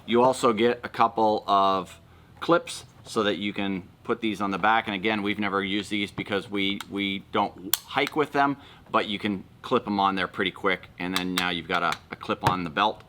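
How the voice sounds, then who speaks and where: A man's voice: on the low side, normal speed, noisy background. A man giving casual, matter-of-fact unboxing commentary, explaining things as a vlog co-host.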